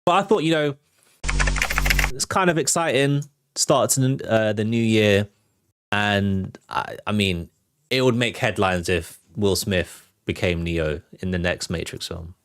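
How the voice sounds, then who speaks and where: A man's voice: on the low side, measured pace, smooth. A British man hosting an entertainment news livestream, talking in a casual, slangy way and thinking aloud as he gives his commentary.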